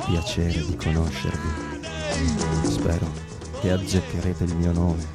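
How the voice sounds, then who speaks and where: A man playing a suave, boastful Devil, reciting dramatically in a slow, courteous and menacing way. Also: deep voice, flat delivery. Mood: sly, courteous. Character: suave, sinister male Devil boasting of his crimes. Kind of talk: dramatic recitation of translated song lyrics over music. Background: music.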